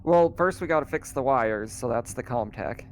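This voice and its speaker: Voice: mid-range voice, animated, moderate pace. A person casually running a tabletop roleplaying game as game master, easygoing and often chuckling.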